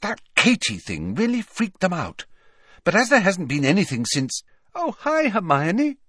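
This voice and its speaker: Man voicing a high-pitched gossipy teenage witch girl. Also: huge pitch swings, normal speed, bright, forceful tone, breathy edge, no echo, heavily acted. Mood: chatty. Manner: eager, wide-eyed.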